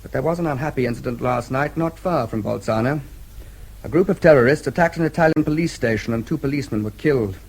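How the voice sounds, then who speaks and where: An actor playing a composed diplomat in a British radio drama, matter-of-fact and restrained. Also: male voice, mid-range voice, fast, darkish tone, breathy edge, poor audio. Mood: grave, factual.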